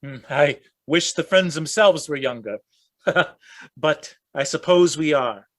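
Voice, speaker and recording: An actor playing a wry, courteous elderly gentleman in a gothic radio play. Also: fairly high pitch, takes it slowly, no room sound.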